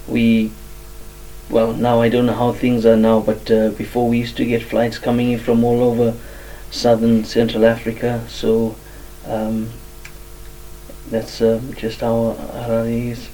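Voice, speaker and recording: A man from Zimbabwe tells his story as a guest, pausing often and filling gaps with ums. His voice is mellow and dark. He sits a little back from the microphone. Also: medium pitch, monotone, far from the mic, slight background noise, rough recording. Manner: many fillers, soft-spoken. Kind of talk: hesitant personal testimony.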